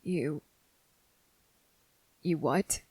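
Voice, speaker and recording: A woman playing a wary, blunt but softening young boy in an ASMR roleplay, speaking deliberately with hesitant pauses. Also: deep voice, slightly rough, no echo, exaggerated voice. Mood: softening, gentle. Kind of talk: ASMR roleplay audio drama.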